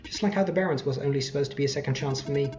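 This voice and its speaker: A reflective robot from a video game, read out by a let's player. It speaks in measured, resigned phrases at a medium pitch, with music behind. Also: natural voice. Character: reflective, resigned tamed robot who plays chess.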